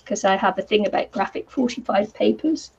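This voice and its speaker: A woman with a New Zealand accent chatting casually on a live craft stream, her voice croaky today, rambling with lots of ums and little laughs. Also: mid-range voice, a little fast, darkish tone. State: croaky voice from the heat. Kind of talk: casual chat while crafting. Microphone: computer or camera mic with a fan nearby. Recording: slightly dull lo-fi sound.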